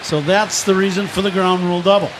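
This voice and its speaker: A man's voice: high voice, steady tone, quick pace. A man chatting casually as color commentator on a live baseball radio broadcast from the stadium booth. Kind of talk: casual sports banter and commentary. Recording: clear ambient ballpark noise behind.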